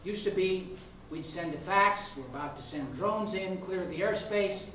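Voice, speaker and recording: A man who is a law professor speaking in a debate, laying out his case deliberately in short rhetorical phrases. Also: high-pitched, fairly bright tone. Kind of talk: spoken legal debate. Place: indoor room at a law school event. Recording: very echoey room, distant mic, faint noise.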